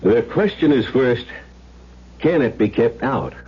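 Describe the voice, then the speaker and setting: An actor playing a measured, folksy small-town sheriff and old family friend in a 1970s radio drama. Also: male voice, mid-range voice, big pitch swings, breathy edge, full character voice. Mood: measured, reasoning. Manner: folksy, deliberate, reasoning aloud. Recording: dull lo-fi old radio broadcast.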